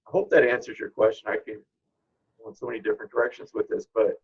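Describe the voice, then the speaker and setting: Interview guest, male, middle-aged. Husky and creaky, reflective, conversational delivery. Slightly muffled, low-fidelity recording. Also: quick pace. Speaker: guest, spirit medium. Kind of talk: informal interview talk.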